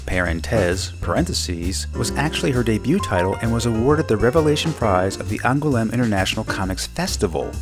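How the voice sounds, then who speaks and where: A man hosting an interview show, speaking in a slightly low voice with a measured, deliberate delivery. He introduces his guest and puts questions to her plainly. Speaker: podcast host.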